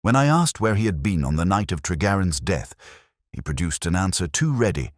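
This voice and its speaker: A man playing a melancholy police inspector, narrating his case in measured, literary, introspective prose for an audio drama. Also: low voice, sing-song, no echo, studio quality. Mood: brooding, reflective. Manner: measured, literary, brooding delivery. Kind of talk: scripted first-person detective audio drama narration.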